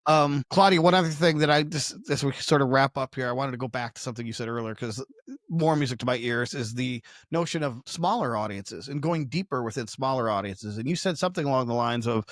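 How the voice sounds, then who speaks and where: Middle aged guy cohosting a podcast, casual, punches key words, mid pitch, dry recording. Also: fairly quick, no room sound. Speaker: podcast co-host. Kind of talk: casual conversational discussion.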